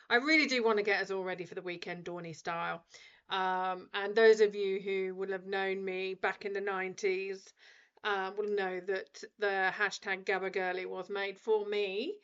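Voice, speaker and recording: A woman radio host in her forties, chatting casually and ranting with animated, laughing energy. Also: medium pitch, clean tone, lo-fi sound. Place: radio studio.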